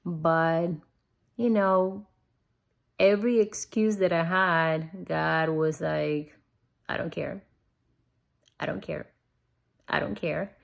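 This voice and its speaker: A middle-aged woman speaks English with a foreign accent in a casual, reflective devotional style. Her voice sits a little low and has a lot of creak. She takes her time, talking very slowly and earnestly. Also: fairly even intonation. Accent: non-native English accent. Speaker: host of a Bible-reading vlog. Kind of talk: casual personal devotional talk to viewers.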